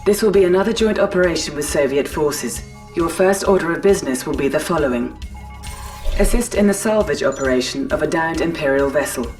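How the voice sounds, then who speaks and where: A woman voicing an impersonal military announcer system in a video game, measured, cool and official. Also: sits low, darkish tone, natural voice. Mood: detached. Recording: slightly distant, music behind.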